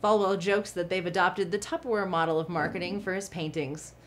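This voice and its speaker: A young woman anchoring a local TV news segment, reading a scripted feature in a clear, even newsreader delivery from a studio desk. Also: lowish voice, a little fast, a little hiss or hum. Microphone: studio mic, seated at desk.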